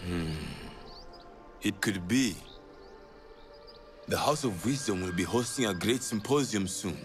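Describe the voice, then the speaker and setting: Low-voiced, shrewd assassin mentor. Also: male voice, expressive, measured pace. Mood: cautious, commanding. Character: shrewd male assassin mentor and spymaster, commanding. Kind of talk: dramatic video game dialogue. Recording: music behind the voice.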